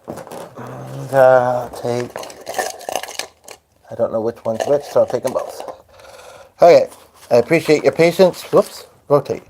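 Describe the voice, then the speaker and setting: A man's voice: mid-range voice, fairly even intonation, measured pace, gravelly, pauses often, dark tone, a little hiss or hum, low-quality recording. A man live-streaming from home at his piano, chatting loosely to his viewers as he sets up to play. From Hungarian. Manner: rambling, talks to viewers while setting up. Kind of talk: casual live-stream chat. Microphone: phone.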